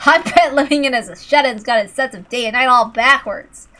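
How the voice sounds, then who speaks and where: A woman voicing an irritable adult man who complains in loud exasperation, in a story reading. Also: sits high, a little fast, pitched above the performer's own voice. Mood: annoyed.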